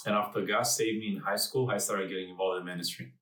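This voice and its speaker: A man from Romania speaks as a missionary in a recorded video message. His low voice is earnest and scripted, and it sounds distant and roomy. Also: steady tone, fast, fairly bright tone. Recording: echoey, distant video audio.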